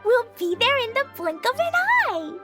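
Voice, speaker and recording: A woman's voice: very high-pitched, big pitch swings, moderate pace. A woman voicing an eager, cheerful little girl in a video game. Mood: excited. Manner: bubbly, eager, childlike. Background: game music.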